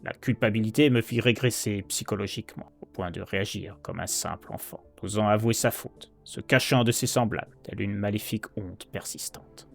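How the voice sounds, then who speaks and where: Low-voiced haunted male heir, acted by a man, solemn theatrical horror poetry, measured delivery over prominent music. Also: creaky. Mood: guilty anguish. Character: anguished, guilt-ridden male heir turned vengeful horror narrator. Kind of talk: dramatic horror poem narration.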